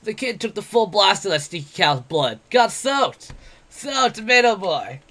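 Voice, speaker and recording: A man voicing a brash, mocking, drunk male wolf-man adventurer, loud and jeering. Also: high voice, sing-song, measured pace, pitched above the performer's own voice, exaggerated voice.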